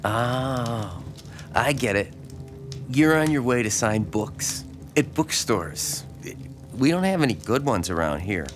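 A man's voice: medium pitch, animated, takes it slowly, soft background music. A man playing a lonely, starstruck small-town fan in an audio drama, speaking hesitantly and deferentially. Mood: eager, curious. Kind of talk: naturalistic audio drama dialogue.

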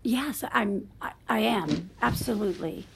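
A woman playing a flustered, hurting wife in an audio drama, speaking haltingly and apologetically. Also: mid-range voice, creaky, fairly bright tone, a little hiss or hum. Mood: flustered, apologetic. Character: Diana, flustered, hurting wife clinging to her estranged husband. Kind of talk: naturalistic audio drama acting.

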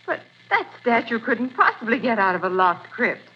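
A woman's voice: fairly high pitch, big pitch swings, normal speed. An actress playing an eager young woman assistant to a detective in a 1940s radio drama, wide-eyed in manner. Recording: muffled old radio broadcast, faint hiss.

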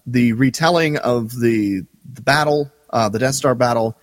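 Halting man chatting, reverb tail on voice. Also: mid-range voice. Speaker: podcast co-host. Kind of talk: casual discussion of a radio drama.

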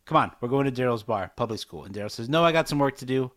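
A man's voice: medium pitch, animated, fast talker, fairly bright tone. A man co-hosting a podcast, talking casually in a polite, appreciative manner. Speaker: podcast co-host. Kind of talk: casual podcast chat with sign-off. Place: recorded over video chat.

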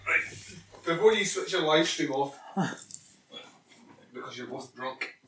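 A man with a somewhat high voice, teasing his friends in wry podcast chat. He sounds set back from the mic in a roomy space, over a faint hiss. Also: lively intonation, a little slow, far from the mic. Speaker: podcast co-host. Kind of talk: casual chat.

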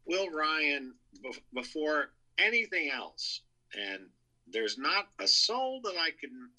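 A man with a very bright, forceful voice and a husky grain speaks as a show contributor. He reminisces conversationally, telling anecdotes with firm emphasis. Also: mid-range voice, low-quality recording. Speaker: show contributor, entertainment writer.

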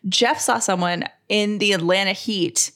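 A woman's voice: mid-range voice, unhurried, a bit bright. An American woman in her thirties telling everyday stories casually as a co-host, with emphatic delivery. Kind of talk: casual anecdotal chat.

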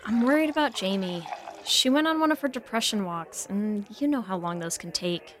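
A woman's voice: mid-range voice, lively intonation, normal speed, a little hiss or hum, lightly acted. A woman playing an earnest, worried best friend in an audio drama. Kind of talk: naturalistic audio drama acting.

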